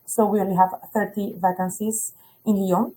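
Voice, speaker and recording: A woman's voice: medium pitch, level tone, normal speed, dark tone, rough recording. A woman representing a school, explaining fees and places in a webinar with frequent self-corrections. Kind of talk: webinar information talk.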